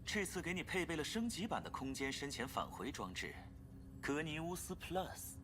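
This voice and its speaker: An actor playing an urbane, scheming leader and scientist in a video game, speaking formally and with theatrical self-assurance. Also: male voice, fairly high pitch, very animated, normal speed, background noise. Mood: self-assured, formal. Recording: loud music behind the voice. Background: game music.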